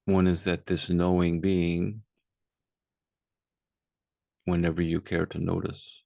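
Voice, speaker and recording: A man guiding a meditation as a teacher, speaking calmly and unhurriedly with long pauses. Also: sits low, gravelly, no room sound. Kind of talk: guided meditation, unscripted.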